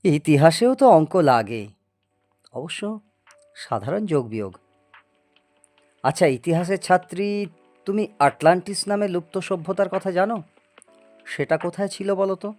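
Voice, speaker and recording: A man's voice: high-pitched, animated, measured pace, long pauses, darkish tone, soft background music. A man playing a boastful, eccentric older scientist in an audio story, lecturing and teasing in a grandiose way. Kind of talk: dramatised audio story acting.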